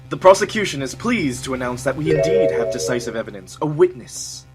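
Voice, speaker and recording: A man's voice: mid-range voice, lively intonation, brisk, loud music behind the voice, lightly acted. A man playing a smug, condescending young prosecutor in an amateur courtroom game fan dub, with haughty, dramatic, precise delivery. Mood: triumphant.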